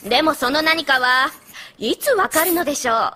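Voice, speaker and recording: Bright, earnest cartoon schoolteacher. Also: female voice, high voice, animated, moderate pace. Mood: gentle, instructive. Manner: polite classroom explaining. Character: kindly, earnest schoolteacher pony mare. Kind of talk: dubbed cartoon acting.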